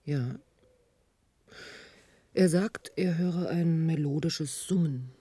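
Reserved clinical doctor in a radio play, soft dark husky voice, no room echo. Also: female voice, low-pitched, takes it slowly, heavily acted. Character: sober, reserved female medical expert. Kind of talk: German radio drama acting.

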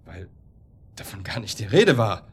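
A man's voice: lowish voice, big pitch swings, normal speed, slightly rough, exaggerated voice. An actor playing an evasive, defensive professional man cornered as a suspect, hedging in stilted words in a radio drama. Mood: evasive, self-justifying. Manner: hedging, stilted wording, self-justifying. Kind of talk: naturalistic German radio drama acting.

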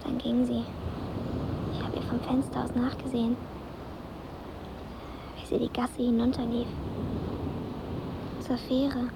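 An actress playing a polite, sad, precocious girl of about ten in a radio drama, speaking formally and haltingly. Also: on the high side, flat delivery, gravelly, very noisy. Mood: sad, composed. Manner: formal, halting, overly courteous.

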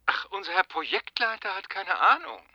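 Sharp, sarcastic, goading colleague. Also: male voice, sits high, sing-song, normal speed, exaggerated voice. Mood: bitter sarcasm. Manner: sarcastic, biting. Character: cynical, needling male colleague, bitter and goading. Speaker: actor in a radio play. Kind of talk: German radio drama acting.

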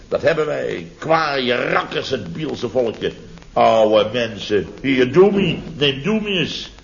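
A man's voice: medium pitch, creaky, slight background noise. An actor playing a boastful, indignant contractor in his fifties in a radio comedy, repeating himself and exclaiming self-importantly. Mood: boastful, blustering. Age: 50s. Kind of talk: 1970s radio comedy acting. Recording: dull vintage radio recording.

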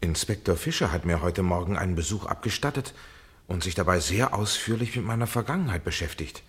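Polite suspected man in a radio drama, really low husky voice, formal and careful. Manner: formal, measured phrasing. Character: Robert, a man under police suspicion, polite and measured. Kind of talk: German radio play acting.

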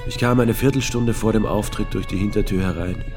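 An actor playing a brooding male poet, delivering a measured, dramatic literary monologue in an audio drama. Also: on the low side, natural voice. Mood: brooding, intense. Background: guitar music.

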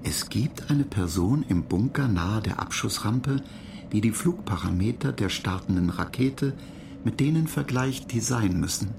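Polite computer program, gravelly voice, measured explanations, radio play, clear background noise. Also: male voice, on the low side, expressive. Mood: matter-of-fact. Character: polite, apologetic male computer program, slightly touchy.

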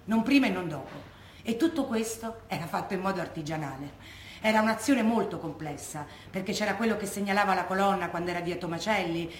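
An actress plays a proud former resistance woman in a stage monologue. Her mid-pitched voice is emphatic and analytical, explaining things conversationally. It sounds like an echoey room with slight background noise. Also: natural voice. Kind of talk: theatrical monologue as oral testimony.

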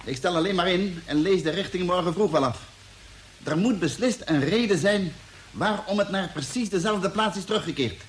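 A man's voice: high-pitched, normal speed, lightly acted. An actor playing a sceptical, authoritative space crewman with a precise, matter-of-fact delivery in mid-century radio drama style. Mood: businesslike. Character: Mitch, sceptical, authoritative male space engineer. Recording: noisy old radio play recording.

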